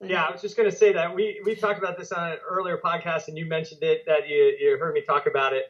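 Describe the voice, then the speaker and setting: A man hosting a podcast interview, explaining at length and affirming his guest with quick agreements. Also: fairly high pitch, little pitch movement, fairly bright tone. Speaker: podcast host. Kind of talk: conversational interview. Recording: dull lo-fi audio.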